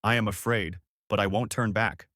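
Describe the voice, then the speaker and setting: A man's voice: fairly low pitch, steady tone, moderate pace, a bit bright, no echo, studio quality. Man reading as a brave, earnest young girl. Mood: earnest, resolute.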